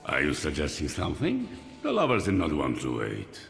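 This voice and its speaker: An extremely deep governor general from a story game, aloof and quietly menacing. His gravelly, deliberate delivery swings widely in pitch, with music clearly behind it. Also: wildly swooping pitch, in a large hall, exaggerated voice. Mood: suspicious, dry. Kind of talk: story video game dialogue.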